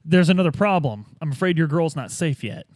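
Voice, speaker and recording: A man improvises as a detective in a tabletop roleplay. His voice is somewhat high for a man and swings widely in pitch. He talks informally and expressively, recorded dry and free of echo. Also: animated, a little fast, a little mellow. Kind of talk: casual in-character improvised chat as a detective.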